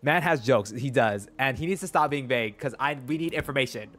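A man's voice: mid-range voice, animated, brisk, a bit bright. A man in his twenties giving casual, sassy, slangy reaction commentary as a game streamer. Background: game music.

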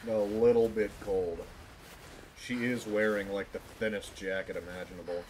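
A man's voice: on the low side, fairly even intonation, normal speed, a little mellow, a little hiss or hum, rough recording. A man chatting casually and jokily to viewers as he livestreams a video game. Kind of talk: casual livestream gameplay chat.

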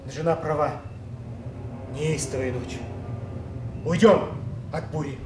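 An actor playing a stern, unfeeling lord in a classical radio tragedy. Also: male voice, fairly high pitch, takes it slowly, over music. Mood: cold, commanding. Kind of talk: classical radio drama acting. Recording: echoey, distant, noisy old recording.

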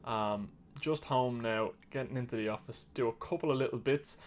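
An Irish man in his thirties giving a rambling, relaxed vlog update from a small home office at his desk camera. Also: mid-range voice, normal speed, slight background noise. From Ireland. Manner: relaxed, rambling, self-correcting. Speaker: vlog co-host. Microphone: webcam at desk.

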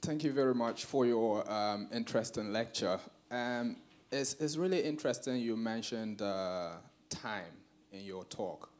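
Man from Ghana, 40s, audience question, deep-ish voice, polite, lots of ums. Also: measured pace, a little mellow. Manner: polite, hesitant, many "um"s. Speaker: audience member asking a question. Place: lecture theatre.

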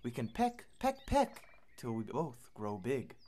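A chatty little storybook bird , voiced by a woman, gentle and inviting. The singsong rhyme rises and falls freely at an unhurried pace. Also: extremely low pitch, huge pitch swings, exaggerated voice. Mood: friendly, inviting. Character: friendly, chatty little birds inviting a playmate.

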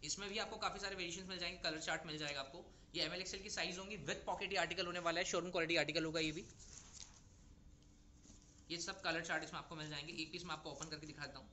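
A man's voice: a little high, steady tone, fast, pushed and bright, slight background noise. A young man presenting garment stock to retail buyers in a persuasive, reassuring sales patter, recorded in a clothing warehouse. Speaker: wholesale seller presenting stock. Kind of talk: product showcase pitch to retailers.

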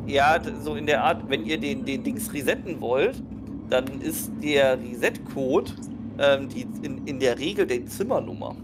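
A man's voice: mid-range voice, moderate pace, background noise. A man playing a tabletop game, chatting and joking casually with the group. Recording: music playing under the voice.

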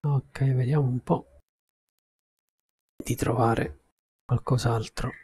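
A male game streamer talks in a mid-pitched voice. His pace is very slow and halting, as he thinks aloud and chats casually to his viewers. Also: steady tone, warm tone, slight background noise. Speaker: game livestreamer. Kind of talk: casual chat with stream viewers while gaming.

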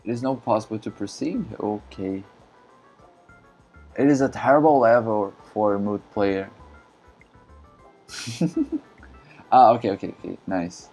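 A man from Brazil whose first language is Portuguese, chatting casually in halting English with many fillers and frequent laughs while streaming a game. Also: lowish voice, lively intonation, a little mellow, breathy edge, faint music behind, low-quality recording. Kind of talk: casual live-stream commentary.

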